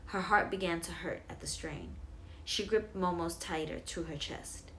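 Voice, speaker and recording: A woman's voice: fairly low pitch, moderate pace, a bit bright, a little hiss or hum. A woman narrating a children's bedtime story as a storyteller.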